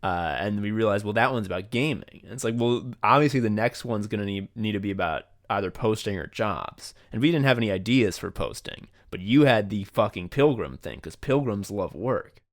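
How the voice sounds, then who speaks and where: A man's voice: lowish voice, lively intonation, fairly quick. Rambling, enthusiastic male podcast host. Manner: rambling, enthusiastic, swears casually. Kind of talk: casual behind-the-scenes chat.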